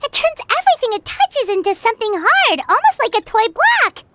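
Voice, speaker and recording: Tiny fairy companion, childlike squeak, wildly swooping intonation, indignant, chatty, video game. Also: female voice, very animated, fairly quick, heavy background noise. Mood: curious, chatty. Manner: refers to self in third person, exclamatory. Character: small excitable fairy-like girl companion, indignant and talkative. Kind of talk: animated video game character acting.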